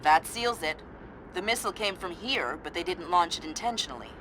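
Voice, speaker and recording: A businesslike game intelligence analyst at medium pitch. The delivery is factual and analytical. Faint noise is audible behind it. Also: expressive, brisk, sharp tone, low-quality recording. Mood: focused, businesslike. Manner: matter-of-fact, analytical. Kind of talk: video game voice acting.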